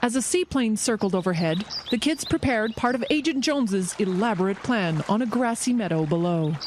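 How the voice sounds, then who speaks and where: A woman's voice: medium pitch, lively intonation, moderate pace, a little mellow, slight background noise. A woman narrating a scripted adventure story.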